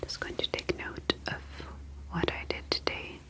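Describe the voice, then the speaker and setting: A woman playing a calm, clinical ocean alien scientist in an ASMR sci-fi roleplay, whispering in a soft, formal, reassuring manner. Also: a little slow, close to an everyday voice.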